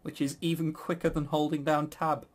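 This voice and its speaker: A man's voice: fairly high pitch, flat delivery, moderate pace. A man hosting a casual quiz, chuckling and teasing as he banters. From a Western country. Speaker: quiz host.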